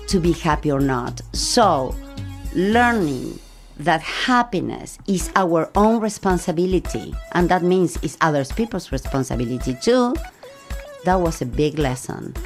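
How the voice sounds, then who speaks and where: Middle-aged argentine lady, spanish accent, low voice, chatting thoughtfully in an interview. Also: sing-song, a little slow, faint music behind. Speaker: podcast guest, life coach. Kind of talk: reflective conversational interview.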